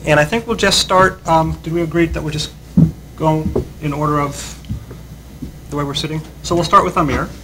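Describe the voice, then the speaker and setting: A man moderating a conference panel, introducing speakers in a halting way with many fillers. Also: a little high, heavy background noise. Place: conference hall.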